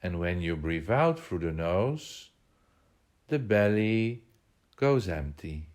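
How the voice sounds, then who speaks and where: A man with a somewhat low voice leads a guided meditation. His delivery is very slow and measured. He gives instructions in short phrases, pausing between them. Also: very animated, a little mellow.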